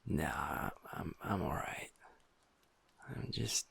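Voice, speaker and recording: Soft sad werewolf bf, a guy doing it, super husky half-whispered voice, gravelly, audio rp. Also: extremely low pitch, animated, extremely slow, heavily acted. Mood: subdued. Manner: soft, hushed, intimate talk to a partner. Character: gentle young male werewolf boyfriend, pack beta, guilt-ridden. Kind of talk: audio roleplay.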